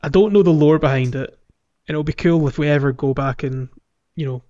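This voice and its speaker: A man with a slightly high voice chats casually as a podcast co-host about games. He is jokey and talkative, and the recording is a little dull and lo-fi. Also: dark tone. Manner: jokey, chatty, quick to laugh. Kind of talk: casual gaming podcast chat.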